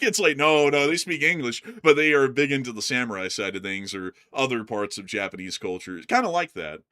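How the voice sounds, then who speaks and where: Casual host, male, bright voice, rambles with fillers, talks while sharing his screen. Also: mid-range voice. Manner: rambling, lots of fillers, laughs easily. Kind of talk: casual chat.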